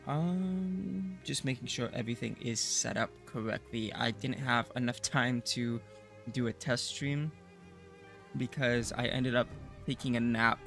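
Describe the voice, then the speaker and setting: A man with a raspy voice, groggy from just waking up, casually chatting through a live gameplay stream and rambling with self-deprecating humor. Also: medium pitch, unhurried, a bit bright, soft background music. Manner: rambling, self-deprecating, with frequent fillers and laughs. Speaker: game streamer.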